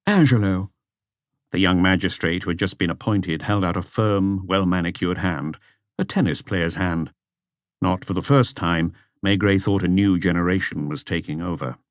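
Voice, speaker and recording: A man's voice: low-pitched, normal speed, no echo, studio quality. A man reading a mystery novel as scripted audiobook narration.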